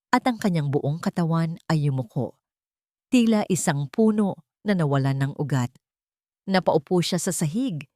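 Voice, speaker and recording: A woman's voice: low-pitched, darkish tone, dry recording, clean studio sound. A woman reading a scripted love story as narrator, in a solemn, melodramatic manner.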